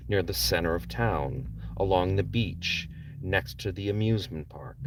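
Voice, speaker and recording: Low voiced guy reading a novel out loud, pitch moves around a lot, sits a bit far off the mic. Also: background noise. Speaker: author reading his own novel as audiobook. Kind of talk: scripted narration, audiobook reading. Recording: distant microphone.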